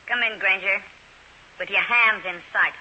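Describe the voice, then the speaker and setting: A woman's voice: mid-range voice, unhurried, sharp tone. An actress playing a cold, commanding female crime boss, menacing and controlled, in 1940s radio drama style. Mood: coolly menacing. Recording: hissy, muffled old radio recording, tinny.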